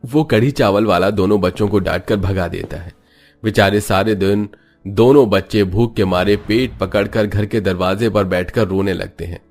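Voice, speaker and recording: A man's voice: fairly low pitch, moderate pace, a little mellow, soft background music. A man giving scripted narration for a cartoon moral story, as the narrator.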